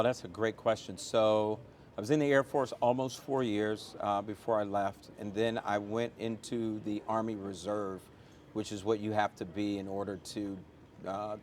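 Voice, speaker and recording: A man's voice: on the low side, slight background noise. A middle-aged American man answering interview questions conversationally, with an emphatic, motivational manner and a storyteller's use of examples. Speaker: interview guest, airport chief executive.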